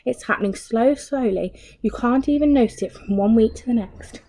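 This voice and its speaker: A woman's voice: a little high, moderate pace, mellow, a little hiss or hum, low-quality recording. A woman reading a children's story aloud like a teacher, expressively, with asides and questions to young listeners.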